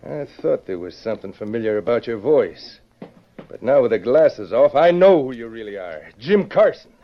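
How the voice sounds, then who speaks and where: An actor playing a sly, gloating murderer in an old radio western, smug, distrustful and taunting. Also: male voice, mid-range voice, expressive, normal speed, close to an everyday voice. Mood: gloating, menacing. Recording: muffled, lightly noisy vintage radio recording.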